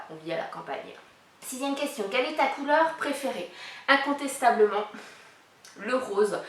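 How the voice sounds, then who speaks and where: A woman in her thirties hosting a casual vlog, talking chattily with many fillers as she answers a tag's questions. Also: medium pitch. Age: 30s. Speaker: YouTuber presenting a collab channel. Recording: echoey room, mic a bit far.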